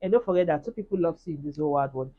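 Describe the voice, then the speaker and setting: Husky Nigerian woman sharing a testimony. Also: sits low, animated, rapid-fire, phone-quality sound. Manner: earnest, heartfelt, talks straight to viewers. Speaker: vlogger. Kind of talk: casual personal testimony.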